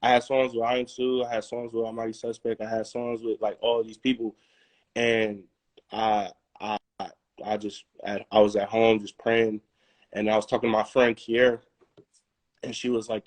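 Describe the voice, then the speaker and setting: A young man talks through his story as a guest in a relaxed interview, stumbling over restarts and fillers as he goes. His voice sits at an ordinary middle pitch. The recording is close and dry, with no room sound. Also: no room sound, rough recording. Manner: halting, many restarts and fillers. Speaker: musician guest. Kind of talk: casual interview talk.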